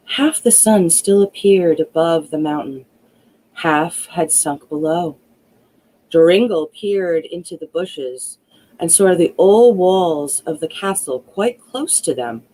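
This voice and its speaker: A woman reads a fairy tale in a mid-pitched voice. She takes her time, with a slow and deliberate pace. The delivery is dramatic, with very animated intonation. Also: poor audio. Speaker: storyteller. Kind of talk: expressive fairy-tale narration.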